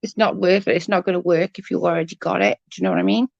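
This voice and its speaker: A woman's voice: on the low side, fairly even intonation, fast, gravelly, a little mellow, dry recording, lo-fi sound. Congested woman chatting on a livestream. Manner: chatty, greets viewers by name. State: congested, coughing, voice going. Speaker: livestream host. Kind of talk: casual chat with live viewers.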